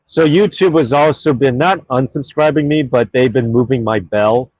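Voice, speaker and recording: A man's voice: mid-range voice, moderate pace, a little mellow, no room sound, lo-fi sound. A man hosting his own live stream in casual chat, reading out viewer comments in a rambling, offhand way with plenty of fillers.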